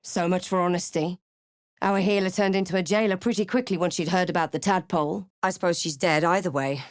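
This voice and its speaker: Woman acting as brooding female adventurer, lowish voice, dry studio sound, measured storytelling, fantasy game narration. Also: fairly even intonation, no echo, lightly acted. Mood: reflective. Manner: measured, reflective, literary storytelling. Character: brooding female fantasy adventurer recounting her own journey. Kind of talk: first-person scripted game narration.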